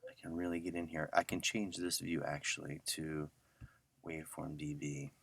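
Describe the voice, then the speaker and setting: A middle-aged man giving a casual audio software tutorial, talking informally and thinking aloud as he works. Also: extremely low pitch, level tone, moderate pace, gravelly, slightly rough, dry recording. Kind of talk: casual screen-share tutorial.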